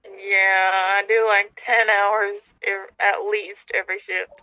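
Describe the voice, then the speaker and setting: A woman's voice: medium pitch, level tone, slow pace, no echo. A woman chatting casually in an online roleplay game over a radio-like channel. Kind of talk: casual chat. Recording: tinny phone-like radio channel, dry.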